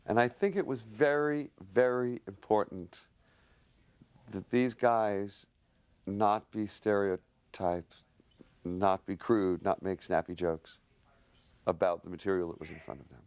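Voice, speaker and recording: An actor in a retrospective interview, speaking earnestly and reflectively. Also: male voice, fairly low pitch, measured pace, a little mellow, no echo.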